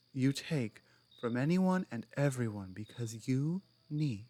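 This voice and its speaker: A woman playing a strong, proud, stubborn female orc in an ASMR roleplay, speaking earnestly and firmly with deliberate pauses. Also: very deep voice, darkish tone, heavily acted. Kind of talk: ASMR audio roleplay, one-sided dialogue.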